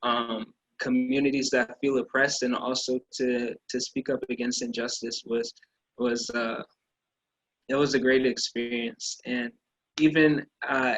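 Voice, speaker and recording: A man's voice: mid-range voice, fairly bright tone, dry recording, rough recording. A young man from Minnesota, a student athlete, answering earnestly and reflectively in an interview. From Minnesota, USA. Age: young adult. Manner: earnest, reflective, speaks in building lists.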